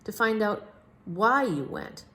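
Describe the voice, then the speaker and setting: A woman voicing a clever, unflappable male detective in a children's storybook, speaking deliberately and emphatically. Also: medium pitch, lively intonation, sharp tone, pitched above the performer's own voice, full character voice. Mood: confident.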